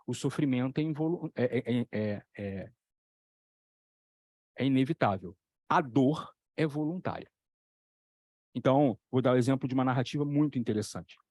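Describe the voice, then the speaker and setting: A man talking as a psychoanalytic lecturer, telling stories with lively examples and repeating key phrases for emphasis. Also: mid-range voice, normal speed, pauses often, no room sound, clean studio sound. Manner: animated storytelling, acting out voices in the examples. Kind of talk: explanatory talk on a video channel.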